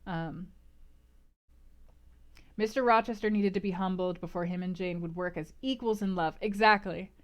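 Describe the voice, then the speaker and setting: A woman hosting a casual book club livestream, discussing and answering chat in an animated, emphatic, conversational way. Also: mid-range voice, moderate pace, long pauses.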